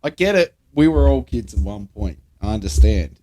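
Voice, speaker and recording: A man's voice: on the low side, lively intonation, normal speed, mellow. A young Australian man co-hosting a podcast, bantering casually and bluntly with plenty of swearing and slang. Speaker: podcast co-host.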